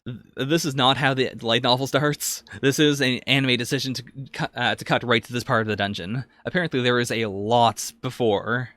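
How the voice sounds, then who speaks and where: Man, medium pitch, podcast co-host, casual review talk, tentative phrasing, dry close sound. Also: fairly even intonation, brisk, few pauses, a bit bright, dry recording.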